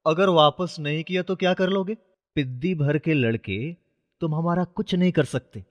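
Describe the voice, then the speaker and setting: A man plays a young cartoon street bully who mocks and sneers. His voice is slightly high, with a dull tone and a long reverb tail. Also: normal speed, natural voice. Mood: mocking. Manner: taunting, sneering. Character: mocking young male street bully, petty thief.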